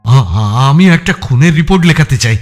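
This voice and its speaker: A male narrator acts out an agitated motorist in an audio thriller. He stammers and halts urgently, his voice raised and swinging in pitch. Light music sits underneath. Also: lively intonation, moderate pace, lightly acted. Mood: panicky, flustered. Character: agitated, flustered male motorist reporting a murder. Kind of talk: audio story acting.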